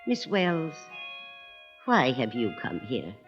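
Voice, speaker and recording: Ominous, brooding servant in muffled old radio. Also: female voice, a bass voice, huge pitch swings, slow, exaggerated voice. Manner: brooding, foreboding. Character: ominous household servant woman spreading dark family gossip. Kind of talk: old-time radio drama acting. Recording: muffled vintage radio recording with music.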